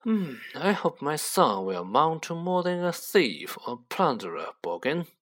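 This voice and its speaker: A man's voice: a little high, sing-song, unhurried, pitched above the performer's own voice. A man voicing a haughty, cold aristocratic wizard father who speaks with curt, condescending disdain in a storybook reading.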